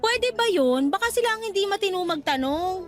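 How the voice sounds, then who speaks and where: An actress playing a young, supportive and affectionate girlfriend in a Tagalog radio drama, with an expressive, coaxing delivery. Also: very high voice, a little fast, soft background music. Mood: curious, sympathetic.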